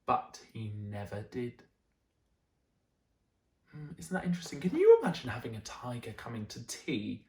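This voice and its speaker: A man reads a picture book to children in a highly varied, expressive voice. He stresses key words dramatically and adds little asides to his listeners. The room gives the sound an audible echo. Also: normal speed, long pauses, slight background noise. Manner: animated, dramatic emphasis with friendly asides to listeners. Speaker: storyteller reading bedtime stories to children.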